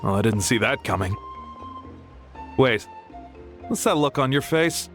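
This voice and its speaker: A man's voice: medium pitch, lively intonation, a little slow, fairly bright tone, faint music behind. An actor voicing a young warrior who rambles anxiously about his fears. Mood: worried. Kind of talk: game dialogue.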